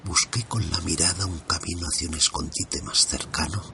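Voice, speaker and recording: A man with a very low voice tells a dark first-person mystery in a tense, hushed way. He slips in and out of whispers as the story builds. A faint hiss sits under his voice. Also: moderate pace, vocal fry. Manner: hushed, suspenseful, drops into whispers. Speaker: horror podcast narrator. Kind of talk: scripted horror narration.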